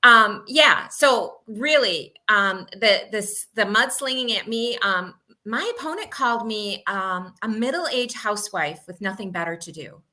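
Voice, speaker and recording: A woman in her sixties, a guest in a casual chat, telling stories in a lively way with acted-out quotes. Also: medium pitch, unhurried, few pauses. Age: 60s. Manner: lively, animated storytelling with put-on quotes.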